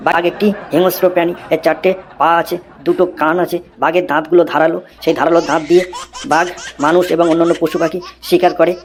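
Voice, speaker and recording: Man voicing a rattling-fast cheeky cartoon schoolboy. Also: on the high side, level tone, close to an everyday voice. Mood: earnest reciting. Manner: fast rote recitation. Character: cheeky schoolboy reciting memorised essays, unflappable. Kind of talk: comedy cartoon voice-over.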